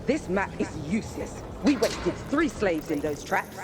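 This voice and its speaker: A man's voice: high voice, sing-song, a little slow, background noise. An actor playing an irritable, callous male cultist slaver in a video game, with a curt, complaining manner. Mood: annoyed.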